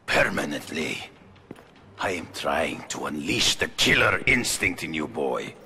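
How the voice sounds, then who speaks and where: An actor playing a ruthless, commanding hunter and mentor in a video game, gravelly, measured and domineering. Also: male voice, a bass voice, very animated, sharp tone, slight background noise, exaggerated voice. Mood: cold, stern. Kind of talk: video game cutscene acting.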